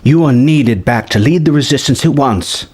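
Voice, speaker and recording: A man voicing an earnest, awestruck young woman apprentice who speaks haltingly in a space-adventure story. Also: medium pitch, a little mellow, slightly rough, close to an everyday voice. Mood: halting, urgent.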